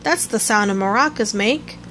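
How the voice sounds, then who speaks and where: A woman's voice: mid-range voice, moderate pace, a bit bright, a little hiss or hum, close to an everyday voice. A woman voicing a simple, good-natured male bear from a children's storybook, speaking in singsong rhymes. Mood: delighted.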